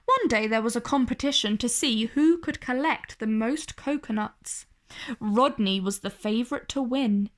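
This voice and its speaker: A woman storyteller reading a picture-book tale aloud for children in an expressive narrating style. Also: fairly high pitch, moderate pace.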